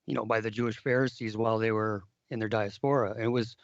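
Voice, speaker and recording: Hoarse man, dull lo-fi sound. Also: lowish voice, fairly even intonation, fast, no echo. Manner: repeats words and restarts often. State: hoarse, lost his voice. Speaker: podcast host. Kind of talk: casual discussion of biblical calendar history.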